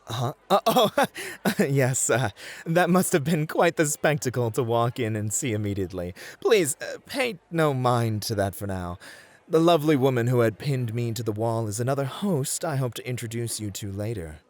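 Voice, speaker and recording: Man acting a lilting, flirty gentleman club host. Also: mid-range voice, animated, normal speed, close to an everyday voice. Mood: apologetic, flustered.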